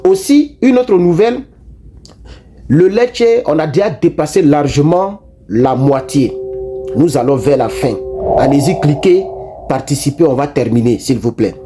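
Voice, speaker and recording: Animated man with a dark voice. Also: fairly high pitch, unhurried, faint music behind. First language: not French. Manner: animated, repetitive, frequent restarts and fillers. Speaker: online commentator. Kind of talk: casual political commentary to viewers.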